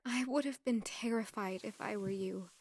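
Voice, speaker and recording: A woman playing a gentle, earnest peasant heroine in an audio drama, with a tentative, questioning manner. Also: mid-range voice, normal speed, slightly rough. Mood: concerned, reassuring. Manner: soft-hearted, tentative, questioning.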